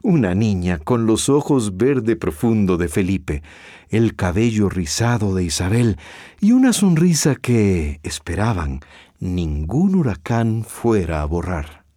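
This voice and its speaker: A man's voice: on the low side, big pitch swings, slow pace, warm tone, no echo, clean studio sound. A man giving scripted narration as the narrator of a radio drama.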